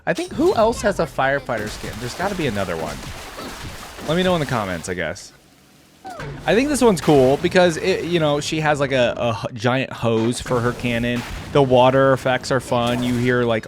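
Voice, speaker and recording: A man with a mid-pitched, very animated voice gives casual reaction commentary. He thinks aloud in chatty, informal slang, his intonation moving up and down freely. Music plays behind him. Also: normal speed. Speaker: host of a reaction video.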